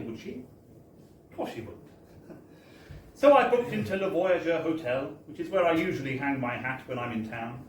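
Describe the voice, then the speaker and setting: A man giving a wry, theatrical, mock-formal reading of a comic story at a live event. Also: a little high. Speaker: reader of a humorous book. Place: bar, indoors, at a live book launch. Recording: echoey live bar recording, distant, faint noise.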